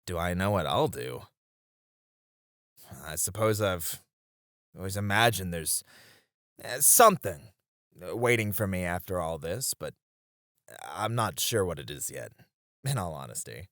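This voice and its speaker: A man's voice: sits low, animated, unhurried, pauses often, a bit bright, no echo, clean studio sound. A man playing a seasoned knight, a wistful, teasing sparring comrade in a romantic roleplay, with reflective, hesitant phrasing. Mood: wistful, sincere. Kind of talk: romantic ASMR roleplay acting.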